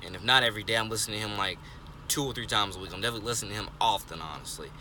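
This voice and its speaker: A man's voice: lowish voice, racing, sharp tone, a little hiss or hum. Earnest twenty-year-old man rambling in a car. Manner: earnest, repetitive with many fillers and restarts. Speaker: vlogger. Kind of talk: rambling casual monologue. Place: parked car, indoors of vehicle. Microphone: phone held close, selfie framing.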